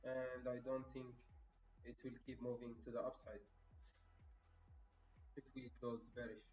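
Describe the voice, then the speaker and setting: A man's voice: medium pitch, little pitch movement, unhurried, darkish tone. Man commenting live in a roomy space. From Egypt. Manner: chatty, addresses viewers as bro and my friend, lectures on discipline. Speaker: trading live streamer. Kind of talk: casual live-stream commentary. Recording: roomy, distant and dull with faint music.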